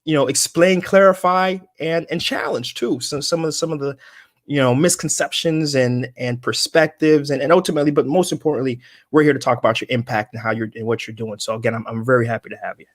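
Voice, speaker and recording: A man's voice: medium pitch, brisk. A man hosting an interview show, talking casually and rambling with many restarts. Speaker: podcast host.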